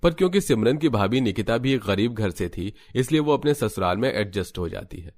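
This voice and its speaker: A man's voice: on the low side, expressive, brisk, darkish tone, slight background noise. A man reading scripted narration for a cartoon story as the storyteller.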